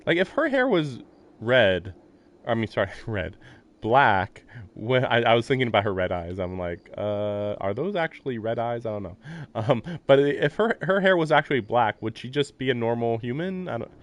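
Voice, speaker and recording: A man's voice: medium pitch, sing-song, normal speed, dull audio. A man live-streaming casual game commentary and reading narration aloud, rambling and musing in a jokey way.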